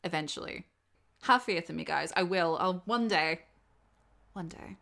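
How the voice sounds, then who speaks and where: Woman, slightly low pitch, clean bright tone, casual Q&A chat, expressive, self-deprecating. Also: measured pace, gravelly. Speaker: audio roleplay creator and host. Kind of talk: casual subscriber Q&A, chatty talk.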